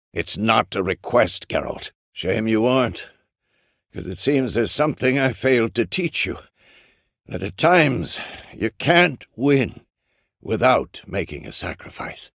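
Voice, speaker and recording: An actor playing a gruff, stern but fatherly old monster hunter in a video game, with a gravelly voice and a terse, weary, commanding manner. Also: medium pitch, dry recording, clean studio sound, full character voice. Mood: grave, resolute. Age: older. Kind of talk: dramatic game character dialogue.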